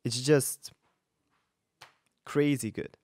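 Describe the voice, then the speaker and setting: A man in his thirties giving casual, expressive reaction commentary as a vocal coach, speaking emphatically into a close studio mic. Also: mid-range voice, very slow, over music. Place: small indoor home studio. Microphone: large studio mic up close, headphones on.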